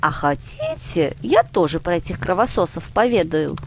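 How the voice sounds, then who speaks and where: A woman's voice: fairly low pitch, huge pitch swings, normal speed, a little hiss or hum. A woman voicing an older grandmother in a storybook, a teasing and knowing theatrical storyteller. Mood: storytelling.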